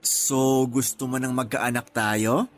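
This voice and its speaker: A man's voice: mid-range voice, fairly even intonation, slow, lightly acted. A man with a Filipino accent playing a devoted, teasing young boyfriend in a conversational radio drama. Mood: tender, teasing. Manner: sweet-talking, reassuring.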